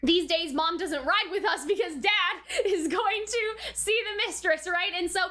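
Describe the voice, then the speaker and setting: Bright, high-pitched woman reviewer. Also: unhurried. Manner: chatty, often checking with "right?". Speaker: host of an anime reaction and review channel. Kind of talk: casual commentary and episode recap.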